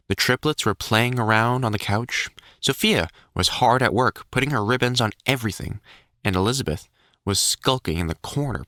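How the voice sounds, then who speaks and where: Male narrator for illustrated story reading; lively, swinging intonation, measured delivery, dry recording. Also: medium pitch, dry recording.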